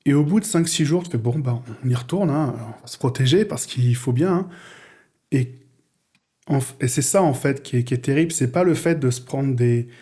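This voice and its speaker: Mellow-voiced man, halting casual talk. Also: mid-range voice. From France. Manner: halting, repeats words while searching for phrasing. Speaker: guest, audio fiction writer and director.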